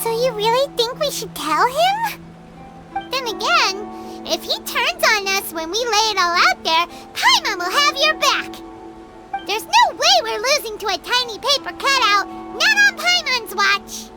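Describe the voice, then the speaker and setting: A woman voicing a tiny, perky, childlike fairy companion in a video game, squeaky and emphatic. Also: sing-song, unhurried, pushed and bright, faint music behind, exaggerated voice. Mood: eager, defiant. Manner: refers to self in third person, emphatic. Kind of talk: video game cutscene acting.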